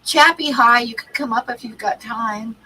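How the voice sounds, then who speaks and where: A woman's voice: a little high, moderate pace, bright, forceful tone, slight background noise, poor audio. A woman with a stuffy cold hosting an art livestream, chatting casually with many ums and restarts. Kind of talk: casual chat to live chat.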